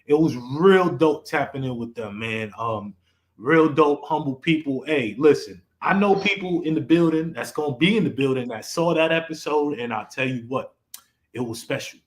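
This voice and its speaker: A man's voice: mid-range voice, moderate pace. Laid-back man hosting in an echoey room. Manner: laid-back and rambling, with many fillers and restarts. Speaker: podcast host. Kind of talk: casual live-stream chat.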